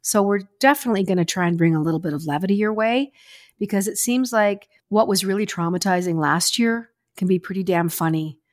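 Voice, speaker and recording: A woman's voice: medium pitch, brisk, no room sound, studio quality. An older woman hosting a podcast, chatting casually and telling stories. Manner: chatty, storytelling. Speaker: podcast host. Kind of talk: casual chat.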